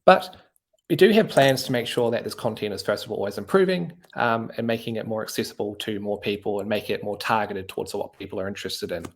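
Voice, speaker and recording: A man's voice: lowish voice, quick pace. A man hosting a podcast discussion, talking casually with many fillers and hedges. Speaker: podcast host.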